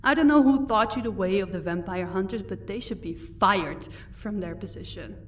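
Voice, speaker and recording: A woman's voice: mid-range voice, normal speed, lightly acted. A woman playing a powerful, commanding vampire lady in an audio roleplay, taunting and condescending with composure. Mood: scornful, taunting. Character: powerful female vampire, mocking, commanding, married lady of an estate. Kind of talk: audio roleplay acting addressed to the listener. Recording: distant microphone, faint background noise.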